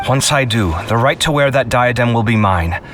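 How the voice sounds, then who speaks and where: Animated young scholar guy played by a man. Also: medium pitch, normal speed, slight background noise, lightly acted. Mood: earnest, subdued. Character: confident, easily flustered young male scholar, dramatic. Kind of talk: scripted video game character acting.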